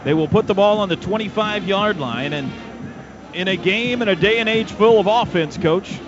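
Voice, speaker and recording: Male American play-by-play caller, high voice, dramatic punchy phrasing, heard through a muffled, noisy stadium radio broadcast. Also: moderate pace, music in the background. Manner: excitable, dramatic build-ups in short punchy phrases. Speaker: play-by-play announcer. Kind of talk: live American football radio commentary.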